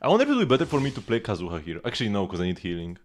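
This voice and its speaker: A man's voice: lowish voice, huge pitch swings, rapid-fire. A man giving casual live gameplay commentary, rambling and thinking aloud as he goes. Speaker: game streamer. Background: game music.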